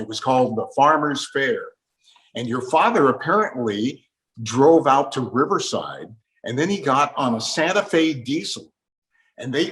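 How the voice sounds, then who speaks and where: A man's voice: mid-range voice, fairly bright tone, rough recording. An older man with an American accent, an interviewer sharing his recollections in a conversational way. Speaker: interviewer with a radio background.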